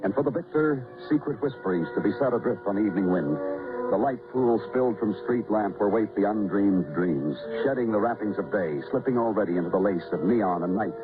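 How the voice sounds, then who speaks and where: A man's voice: on the low side, lively intonation, brisk, narrow, tinny audio, lightly acted. Brooding radio detective played by a man, band-limited. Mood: brooding, dramatic. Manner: clipped, dramatic, poetic phrasing. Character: world-weary male police detective narrating poetically. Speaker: lead actor and narrator. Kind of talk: 1940s radio noir acting, narration. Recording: old band-limited radio recording with music.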